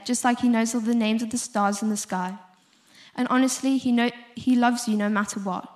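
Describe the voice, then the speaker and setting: Higher-pitched young South African woman preaching. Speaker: speaker giving a short church talk. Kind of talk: sermon, prepared talk with informal asides.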